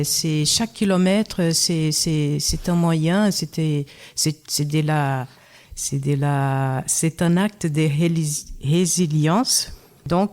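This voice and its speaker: A Brazilian woman speaking French as a second language with a Brazilian accent, a radio guest who pauses and restarts to find her words. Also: lowish voice, darkish tone. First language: Brazilian Portuguese. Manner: halting, searching for words, many restarts. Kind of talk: radio interview.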